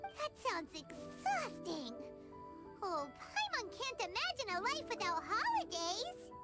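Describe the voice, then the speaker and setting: A woman voicing a tiny, excitable childlike fairy companion in a video game, squeaky and exclamatory. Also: full character voice. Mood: dismayed. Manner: third-person, exclaiming, drawn-out. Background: tinkling music.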